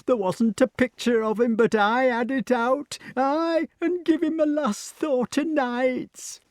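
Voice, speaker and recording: Man as old female nurse, storybook, tearful, shrill high pitch, slow wail, folksy, devoted. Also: steady tone, dry recording, studio quality. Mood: sentimental. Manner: wailing, drawn-out, folksy grammar.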